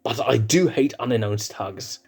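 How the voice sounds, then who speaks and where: A man's voice: medium pitch, measured pace, darkish tone, faint music behind. A man voicing a brash young lion prince. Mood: earnest. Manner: blunt, gruff, defensive.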